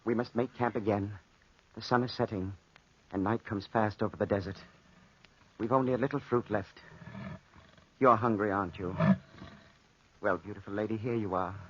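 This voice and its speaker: A man's voice: fairly low pitch, husky. A man acting an impassioned, lovesick soldier losing his mind in the desert, in a melodramatic 1940s radio drama style. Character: lovesick, unhinged soldier stranded in the desert with a panther. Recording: muffled, noisy 1940s radio recording.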